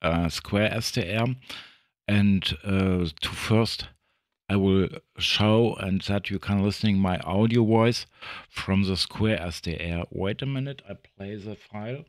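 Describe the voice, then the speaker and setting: A man, a native German speaker with a German accent, giving a halting introduction as an amateur radio operator. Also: lowish voice, no echo. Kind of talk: halting spoken introduction in English.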